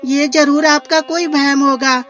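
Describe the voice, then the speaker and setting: A woman voicing a caring, practical farmer's wife in a cartoon moral story, expressive and questioning. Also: high voice, a little slow, voice pushed up. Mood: surprised, doubtful. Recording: music behind the voice.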